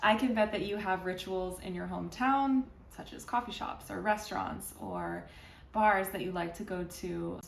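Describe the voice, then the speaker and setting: A woman from California talking earnestly and reflectively in a casual personal vlog, with a gentle motivational tone. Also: medium pitch, lively intonation, normal speed, gravelly, a little hiss or hum. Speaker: vlogger. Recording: roomy sound from a distance.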